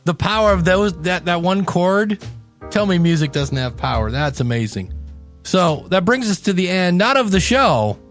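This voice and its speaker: Higher-pitched emphatic male podcast host over music. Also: very animated, fairly bright tone. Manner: emphatic, slows down for dramatic emphasis. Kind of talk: conversational solo podcast talk. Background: background music.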